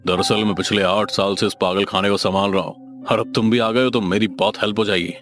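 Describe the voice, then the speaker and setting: A man's voice: on the low side, steady tone, brisk, natural voice. A man voicing an authoritative senior asylum doctor who gives ominous instructions in a horror audio story. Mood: cautioning. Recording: music under the voice.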